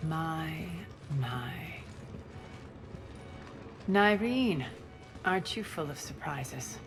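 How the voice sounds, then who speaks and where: Low, gravelly imperious crime queen. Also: animated, full character voice. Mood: sardonic. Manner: haughty, sardonic, deliberate. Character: imperious, menacing alien crime queen, sardonic and proud. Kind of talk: video game voice acting. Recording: music under the voice.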